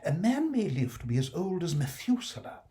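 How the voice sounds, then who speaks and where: A man playing a fussy, indignant economist who scolds in sharp high outbursts. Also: very animated, normal speed.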